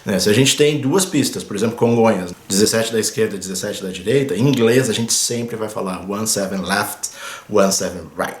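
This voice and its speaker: A man, a pilot who hosts aviation videos, explaining things in a casual, chatty way from home, often tagging sentences with quick confirming fillers and pointing things out on a screen. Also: fairly low pitch, roomy sound. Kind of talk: casual explanatory vlog talk. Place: at home, indoors.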